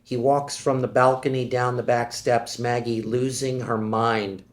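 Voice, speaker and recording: A man reading stage directions aloud at a screenplay table read, steady and matter-of-fact. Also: mid-range voice, measured pace. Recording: echoey room.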